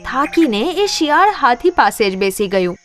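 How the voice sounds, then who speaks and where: A woman's voice: on the high side, very animated, normal speed, over music. A woman narrating scripted moral stories for children.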